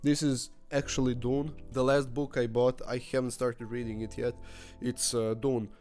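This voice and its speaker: A man talks straight to the camera in a relaxed vlog style, candid and joking, with the odd swear word. His voice sits at an ordinary middle pitch. Soft music plays faintly underneath him. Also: moderate pace. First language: Croatian. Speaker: book vlogger. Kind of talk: casual vlog monologue.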